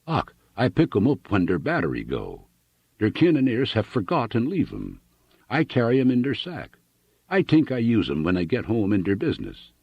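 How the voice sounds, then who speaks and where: A man's voice: mid-range voice, huge pitch swings, normal speed, husky, no room sound, pitched above the performer's own voice, heavily acted. A man voicing a middle-aged German immigrant farmer with a heavy German accent, speaking broken English full of German exclamations. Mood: reminiscing. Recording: dull dry lo-fi recording.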